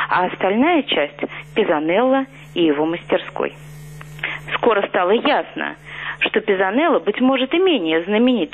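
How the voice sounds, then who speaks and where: A woman reading scripted narration in an even, read-aloud manner, from an archive recording. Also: mid-range voice. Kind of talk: scripted narration on art history. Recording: tinny archival phone-like audio.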